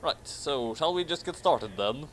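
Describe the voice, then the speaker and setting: A man's voice: medium pitch, lively intonation, unhurried, fairly bright tone, low-quality recording. A man co-hosting a casual commentary, reading a story aloud theatrically and adding dry, sarcastic asides.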